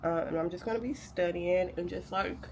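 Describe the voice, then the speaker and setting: A woman's voice: fairly low pitch, moderate pace, darkish tone, slight background noise. A young woman vlogging casually while getting ready to study, sounding nasal from a cold, chatty and rambling with lots of fillers. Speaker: vlogger and graduate student. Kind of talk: casual day-in-the-life vlog chat.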